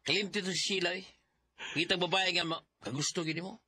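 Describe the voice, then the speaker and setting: An actor playing a teasing, good-natured man in a Filipino radio drama, with a husky voice and a Bisaya accent. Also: high voice, fairly even intonation, fast, sharp tone, heavily acted. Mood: concerned, coaxing.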